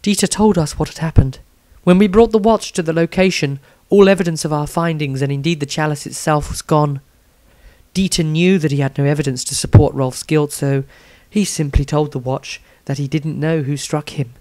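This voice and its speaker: A man's voice: fairly high pitch, steady tone, moderate pace, darkish tone, dry recording, pitched below the performer's own voice, natural voice. A composed, observant woman voiced by a man reads from her diary in a fantasy story. The phrasing is formal and careful, and the sound is dry. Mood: composed, puzzled. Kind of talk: in-character fantasy audiobook diary.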